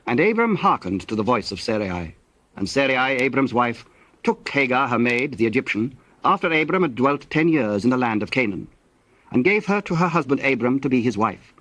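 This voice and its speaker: A man narrating scripture from a script in a measured, solemn manner. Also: medium pitch, big pitch swings, a bit bright, low-quality recording.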